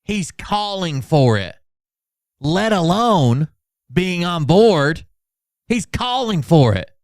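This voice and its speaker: Casting: man performing a melodramatic male conspiracy believer, raised pitch, slow insistent declaiming for a parody reading. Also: sing-song, no echo, studio quality, full character voice. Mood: grandly insistent. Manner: over-dramatic, drawn-out, insistent declaiming.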